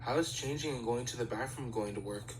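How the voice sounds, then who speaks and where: A man's voice: mid-range voice, brisk, slight background noise, natural voice, low-quality recording. A man voicing a serious, studious teenage boy idol in an amateur anime fandub, speaking in a formal, pedantic, matter-of-fact way.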